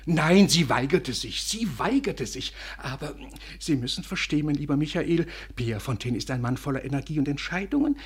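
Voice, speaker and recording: A man playing an effusive, flattering Parisian café owner in a 1950s German radio drama, with a husky voice and a gushing, theatrical manner. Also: a little high, moderate pace. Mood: persuasive.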